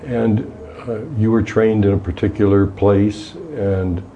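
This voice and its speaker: A man's voice: on the low side, flat delivery, a little slow, dark tone, a little hiss or hum, poor audio. A man interviewing a guest, asking long questions he rephrases as he goes.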